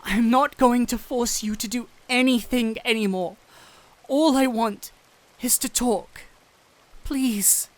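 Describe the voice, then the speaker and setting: A woman playing a gentle, anxious doctor in an audio roleplay, speaking earnestly and haltingly to the listener over rain. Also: fairly high pitch, no echo. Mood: desperate pleading. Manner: earnest, hesitant, pleading with broken phrases. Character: gentle, anxious human doctor pleading with a small cat-thief. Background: rain ambience.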